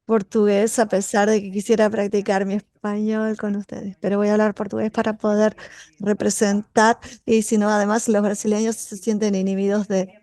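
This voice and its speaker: A woman interpreting a colleague's words aloud in steady simultaneous interpretation on a video call. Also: medium pitch, moderate pace, dry recording.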